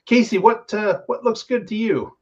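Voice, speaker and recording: A man with a fairly high voice hosts a casual discussion show. He talks in an explanatory, conversational way. The sound is a little dull, like call audio. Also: moderate pace, darkish tone. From Canada. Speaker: show host.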